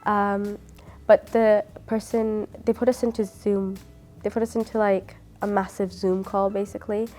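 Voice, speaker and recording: A woman's voice: medium pitch, level tone, normal speed, mellow. A woman from the UK who studies dentistry, chatting through her interview answers with plenty of fillers. Her voice sits in a middle register with little melody. Faint music plays underneath. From United Kingdom. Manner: chatty, uses many fillers. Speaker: dentistry student.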